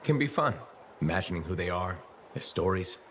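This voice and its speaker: An actor playing an easygoing freelancer hero in a video game, friendly, sympathetic and lightly wry. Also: male voice, low voice, lively intonation, brisk, fairly bright tone, a little hiss or hum. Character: kindly, easygoing male freelancer player hero. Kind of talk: video game dialogue acting.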